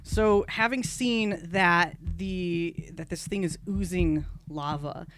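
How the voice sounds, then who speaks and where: A player in a tabletop game talks casually in a mid-pitched voice. The delivery is halting, built up phrase by phrase, with clear noise and clicks behind it. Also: fairly bright tone.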